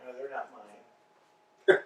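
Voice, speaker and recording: A man's voice: medium pitch, steady tone, drawn-out words. An actor playing Estragon, a tired, grumbling male tramp, in a staged reading, with a dry, weary delivery. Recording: echoey room from far off.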